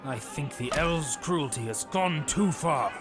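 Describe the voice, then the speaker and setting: A man's voice: fairly high pitch, expressive, a little slow, a crowd in the background, voice pushed up. A man voicing an indignant, dutiful knight in a fantasy storybook, emphatic and righteous. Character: earnest, indignant male knight, dutiful and bold. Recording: narration over loud background music.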